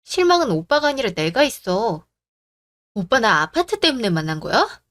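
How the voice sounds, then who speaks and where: A woman voicing a calm, firm young heroine. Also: a little high, moderate pace, no room sound. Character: calm, firm young woman who stands her ground.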